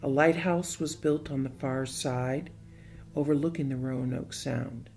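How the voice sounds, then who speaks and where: A man's voice: mid-range voice, steady tone, measured pace, vocal fry, darkish tone. A man reading scripted story narration as the narrator of a play. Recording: music bed behind the voice.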